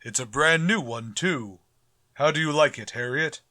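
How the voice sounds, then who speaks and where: A pompous middle-aged school principal, acted by a man in an old-time radio comedy style. His voice sits a little high in a dry recording. He speaks formally, with grumbling sarcasm and a vain streak. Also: moderate pace, bright, forceful tone, dry recording. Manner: stuffy, formal, dryly sarcastic. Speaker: actor.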